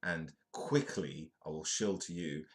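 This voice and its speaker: A man's voice: very deep voice, measured pace. A congested man with a British accent, hosting a comedic live news show, chatting and joking as he reads the news aloud. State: congested, stuffy nose. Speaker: host.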